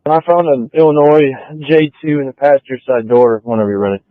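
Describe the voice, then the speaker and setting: A male police officer calling in over scanner radio, spelling names in phonetic code in a flat, routine way. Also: mid-range voice, fast, dry recording, narrow, tinny audio.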